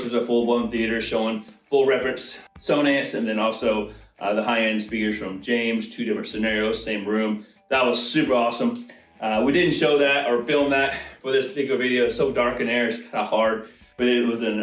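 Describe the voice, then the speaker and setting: Guy doing an upbeat product tour vid, mid pitched voice, chatty and informal, music playing under him. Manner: upbeat, informal, addressing viewers as guys. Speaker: video host, audio installer giving a product tour. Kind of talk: casual enthusiastic product showcase.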